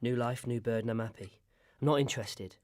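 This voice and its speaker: A man's voice: mid-range voice, brisk, darkish tone, natural voice. Man playing a curt, weary ex-criminal. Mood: weary, firm. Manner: curt, sardonic. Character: weary, gruff, retired male criminal, stubborn and dismissive. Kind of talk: radio drama acting.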